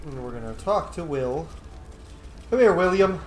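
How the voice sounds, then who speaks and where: A man's voice: a little high, expressive, moderate pace. A man giving casual, joking commentary as he roleplays through a video game. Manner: informal, joking. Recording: roomy echo, faint game noise behind.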